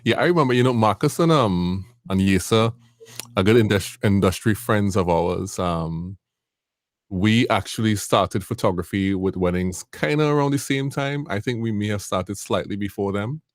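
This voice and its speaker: A man's voice: lowish voice, normal speed, dry recording, clean studio sound. A man hosting a live-stream interview, eager and chatty, often repeating words as he talks. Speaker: host. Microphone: video call.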